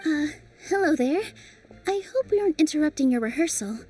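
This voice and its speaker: A gentle young dancer. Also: female voice, high voice, expressive, fairly quick. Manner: gentle, encouraging.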